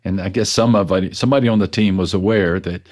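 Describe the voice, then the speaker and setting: Man, pastor, deep voice, earnest, self-deprecating, storytelling interview guest. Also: fast, darkish tone. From West Texas. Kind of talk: reflective storytelling in conversation.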